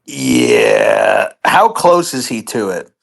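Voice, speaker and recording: A man's voice: sits low, huge pitch swings, extremely slow, creaky, a bit bright. A man chatting casually as a player in a tabletop role-playing game.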